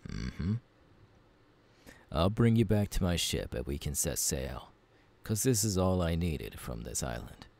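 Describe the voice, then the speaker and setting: A man voicing a gruff, easygoing pirate captain in an ASMR roleplay, gravelly and unhurried, talking intimately to the listener. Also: extremely low pitch, sing-song, darkish tone, exaggerated voice. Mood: relaxed, welcoming. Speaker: voice actor.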